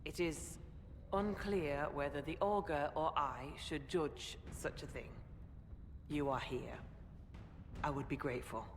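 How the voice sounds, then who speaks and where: A woman's voice: deep voice, measured pace, long pauses, a little hiss or hum. An actress playing a dignified woman who leads a mountain clan in a fantasy game, speaking in formal, ceremonial phrases. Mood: grave. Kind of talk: video game dialogue acting. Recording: long hall reverb, music behind.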